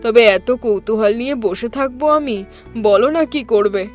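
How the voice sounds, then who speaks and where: A woman playing a nosy, friendly village shopkeeper in a cartoon tale. She has a high voice and music plays behind her. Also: moderate pace, natural voice. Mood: curious. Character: inquisitive village shopkeeper woman, friendly elder-sister type. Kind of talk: animated story dialogue.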